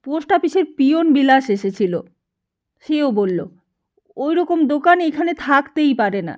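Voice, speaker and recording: Narrator lady doing a nosy chatty housewife, high voice, slightly dull recording. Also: animated, normal speed. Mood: doubting. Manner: chatty, inquisitive. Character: chatty, questioning village housewife, a wife.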